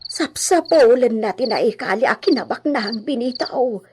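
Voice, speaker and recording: Woman acting a fretful villager, lively swooping intonation, Ilocano radio drama, noisy with insect sounds. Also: on the high side, normal speed, close to an everyday voice. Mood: worried. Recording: clear noise, animal and insect sounds behind.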